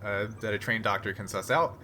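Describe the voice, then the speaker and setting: A man's voice: on the low side, a little fast, pushed and bright, slight background noise. A man acting as game master for a tabletop sci-fi game, explaining things casually and a bit hesitantly.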